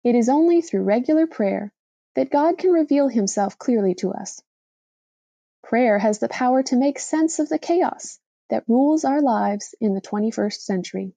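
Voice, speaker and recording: Higher-pitched, soft-toned woman reading an essay. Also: animated, normal speed, vocal fry, no room sound. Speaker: reader of a blog post for a podcast. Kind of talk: scripted narration, reading a reflective religious essay aloud.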